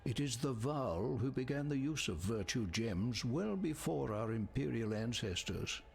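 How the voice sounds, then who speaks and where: An actor playing a grave, scholarly man in a fantasy video game, speaking in measured, literary phrases with a husky voice. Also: medium pitch, expressive, a little mellow, slight background noise. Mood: pensive, scholarly. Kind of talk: scripted video game dialogue.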